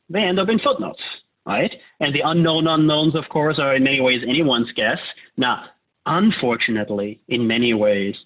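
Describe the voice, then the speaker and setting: A man from Austria, a climate economist, explaining policy in a loose lecture style, often checking in with "right?". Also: mid-range voice. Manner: casual, frequent "uh" and "right?" tags. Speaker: climate economist leading a discussion. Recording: tinny phone-like line.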